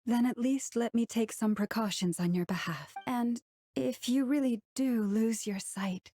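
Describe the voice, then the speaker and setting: Woman playing a devoted friend, higher pitch, solicitous, lightly playful, teasing, video game dialogue. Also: measured pace, mellow, slight background noise, natural voice. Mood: concerned, teasing.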